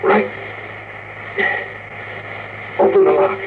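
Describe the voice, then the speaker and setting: A man's voice: quick pace, lightly acted. An actor playing a practical, caring spaceman giving terse orders in a radio drama. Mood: practical, concerned. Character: practical, gruff but caring male spaceman. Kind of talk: 1950s radio drama acting. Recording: muffled, hissy 1950s radio recording, distant.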